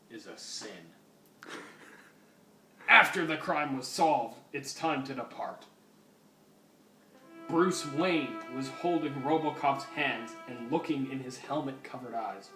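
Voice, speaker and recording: A man giving a deliberate, melodramatic reading of a fan fiction story. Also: medium pitch. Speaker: story reader. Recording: roomy, distant mic, music behind.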